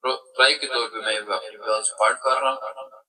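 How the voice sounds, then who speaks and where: A man giving a recorded self-introduction, greeting and introducing himself slowly, captured on a phone. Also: fairly low pitch, fairly even intonation, sharp tone, slightly rough.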